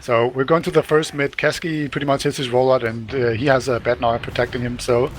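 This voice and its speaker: Male co-caster, average pitch, relaxed banter, slight background hiss. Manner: conversational, quick to laugh, asks co-caster questions. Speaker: esports caster. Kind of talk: casual live game commentary.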